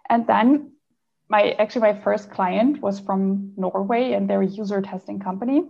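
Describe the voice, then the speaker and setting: A woman from Germany tells her career path in a loose, rambling way. Her voice is full of vocal fry, and the audio is slightly dull and lo-fi. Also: mid-range voice, moderate pace. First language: not French. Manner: chatty, rambling, frequent "like" and laughs. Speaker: interview guest. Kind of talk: casual career story.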